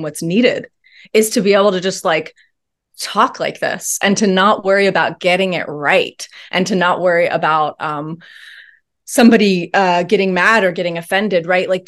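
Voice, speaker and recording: A woman talking as an interview guest in a thoughtful, earnest way with many pauses and ums. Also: lowish voice, fairly bright tone. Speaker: podcast guest. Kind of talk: reflective interview talk.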